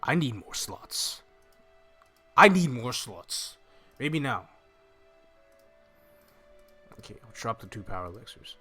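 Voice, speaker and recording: A young man with a bright, forceful voice walks viewers through a video game. He talks informally and thinks aloud, close to the microphone. Music plays behind him. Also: mid-range voice, very animated, measured pace, husky. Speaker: gaming video host. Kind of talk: casual game walkthrough commentary. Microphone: microphone on a stand close in front of him.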